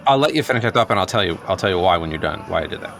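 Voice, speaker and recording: A very low-pitched, neurotic male office worker over clearly audible urban noise. He is wordy and hesitant, defending himself pedantically point by point in an audio comedy scene. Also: lively intonation, very fast, fairly bright tone. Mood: insistent, aggrieved. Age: late 30s. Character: neurotic, agitated office worker newly rejecting social norms. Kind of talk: improvised audio comedy dialogue.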